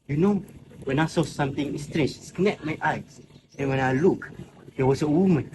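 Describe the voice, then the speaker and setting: Solemn stranger, played by a man, lively pitch, noisy recording. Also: close to an everyday voice. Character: solemn male stranger who found a drowned woman. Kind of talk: student stage-play acting. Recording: noisy amateur recording with ambient sound.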